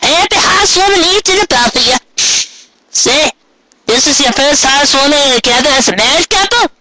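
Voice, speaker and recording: A man voicing a feisty, blunt, elderly country granny pony in a strained high falsetto, with folksy rural phrasing, in a storybook reading. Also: expressive, a little fast, background noise. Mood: teasing, friendly. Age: older. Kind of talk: amateur storybook character reading.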